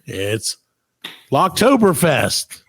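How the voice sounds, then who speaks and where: Male podcast host, higher-pitched and very animated, casual comic banter, playfully contrary. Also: very slow, slightly rough, dry recording. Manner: playfully argumentative.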